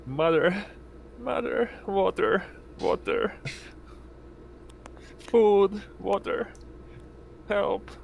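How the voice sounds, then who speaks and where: A man voicing a dazed, needy spaceship crewman in a tabletop game, pleading slowly. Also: sits high, creaky, a little mellow, slight background noise, exaggerated voice. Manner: slow, pleading.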